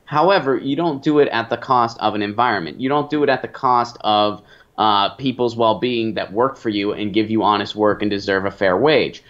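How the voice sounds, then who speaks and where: A man co-hosting a political talk show, giving opinions in a halting, emphatic way as he thinks aloud. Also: on the low side, a bit bright, lo-fi sound.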